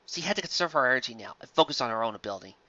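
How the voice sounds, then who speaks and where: A reader reading a pony fan story aloud with expressive storytelling. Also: mid-range voice, rapid-fire, dry recording, muffled recording.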